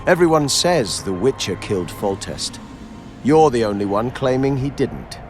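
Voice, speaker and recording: A man plays a haughty game knight barring the way. His mid-pitched voice swings widely as he challenges curtly, faint music behind. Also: lively intonation, moderate pace, lightly acted. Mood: suspicious, stern. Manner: officious, challenging, curt. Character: haughty, suspicious male knight on guard. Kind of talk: video game voice acting.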